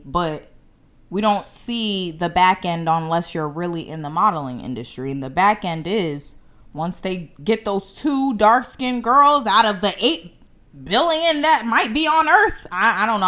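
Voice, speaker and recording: A woman's voice: lowish voice, bright, forceful tone. A young woman from the United States hosting her own show, giving blunt, confident commentary straight to camera. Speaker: show host.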